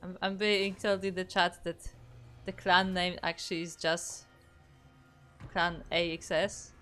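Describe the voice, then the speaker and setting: A woman's voice: fairly low pitch, level tone, a little slow, fairly bright tone, faint music behind. Chatty Polish-accented woman caster. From Poland. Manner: chatty, reads out chat, frequent restarts. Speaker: esports caster. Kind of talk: live game commentary.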